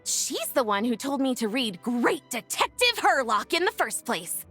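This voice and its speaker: A high, very animated young woman's voice with music underneath. She is a spirited, self-assured film director in a video game, breezy and theatrical, taking her time over each line. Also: a little slow, husky, heavily acted. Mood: amused.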